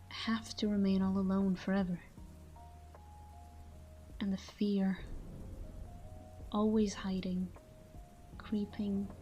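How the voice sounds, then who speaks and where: A tender, wistful immortal vampire woman, voiced by an actress for an ASMR sleep roleplay. Her voice is mellow and breathy, often sinking to a whisper, with very little rise and fall. She speaks slowly and devotedly over faint music. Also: medium pitch, close to an everyday voice. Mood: melancholy. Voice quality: soft, breathy, half-whispered. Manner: slow, hushed, wistful delivery. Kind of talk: intimate ASMR sleep-aid roleplay monologue. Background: soft background music.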